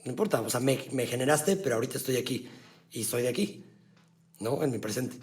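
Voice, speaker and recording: A man with a Mexican accent hosting a podcast interview, laid-back and slangy, quick to agree and chime in. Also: medium pitch, expressive, darkish tone. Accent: Mexican Spanish. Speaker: podcast host.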